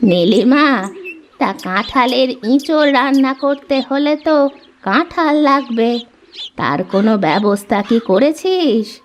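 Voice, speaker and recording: Eager old village grandmother for a cartoon played by a woman, slow, drawn-out exclamations. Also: sits high, animated, a little hiss or hum. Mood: busy, brisk. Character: eager elderly village grandmother who cooks for everyone. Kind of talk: animated cartoon story acting.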